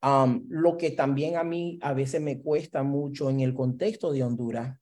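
A man's voice: medium pitch, steady tone, unhurried, a little mellow. A man from Brooklyn with a Puerto Rican-influenced Spanish accent, speaking as an academic guest in an interview. He answers reflectively, slipping English words into his Spanish and ending phrases with "¿verdad?". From Brooklyn, New York. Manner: mixes English into Spanish, frequent "¿verdad?" tags.

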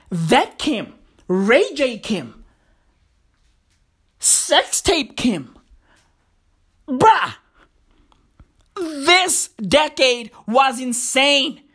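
A boastful young man on the edge of thirty, as a woman acts him out, rattling off slang and swear words in a comic rant. The voice sits fairly high and swings widely in pitch. The delivery is slow and drawn out, with a breathy edge. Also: full character voice. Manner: brash, swears often, repeats slang like bruv and legit. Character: brash young man nearing thirty, cocky slang-talker. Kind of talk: comic character monologue.

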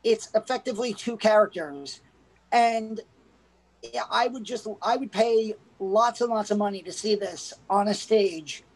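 A woman's voice: medium pitch, unhurried, a little mellow, rough recording. A woman co-hosting a casual movie review, talking hesitantly with many restarts. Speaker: podcast co-host.

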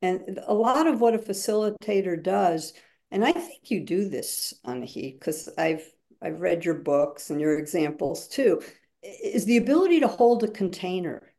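A woman's voice: fairly low pitch, lively intonation, moderate pace, warm tone. A woman speaking as a guest facilitator in a webinar, explaining emphatically and acting out examples as she goes.

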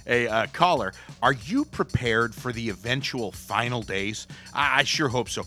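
An actor as a principled male radio sidekick in a comedy show. His voice is bright and swings up and down. He sounds prim, earnest and a little preachy. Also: medium pitch, lively intonation, normal speed, faint music behind. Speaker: radio co-host. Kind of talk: comic radio banter.

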